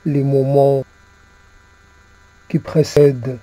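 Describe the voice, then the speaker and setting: A man reciting poetry in a solemn, halting manner, repeating phrases as he goes. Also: mid-range voice, flat delivery, dark tone, dry recording, rough recording. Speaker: poet reciting own poem.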